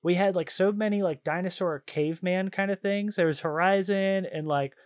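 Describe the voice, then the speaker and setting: A man's voice: sits high, normal speed. A man co-hosting a podcast, chatting casually in a rambling, slangy, enthusiastic way about games and shows. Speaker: podcast co-host.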